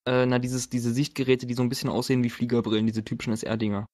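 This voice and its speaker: A man's voice: medium pitch, little pitch movement, quick pace, darkish tone. German-speaking man joking casually at game table. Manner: colloquial, joking. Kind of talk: casual tabletop role-playing chat.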